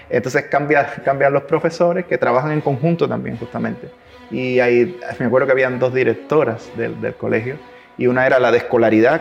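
A man from Cuba with a Cuban accent touched by Chilean, telling stories in a relaxed interview, with restarts and laughs. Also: mid-range voice, creaky, highs cut off. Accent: Cuban Spanish with Chilean traces. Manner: warm anecdotal telling, restarts, laughs at his own stories. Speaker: interviewee, double bass player. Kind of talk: relaxed conversational storytelling.

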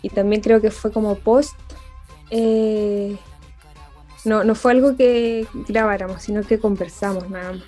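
A woman's voice: mid-range voice, steady tone, unhurried, dark tone, faint music behind. A woman hosting a radio show, chatting casually and hesitantly, often restarting her sentences.

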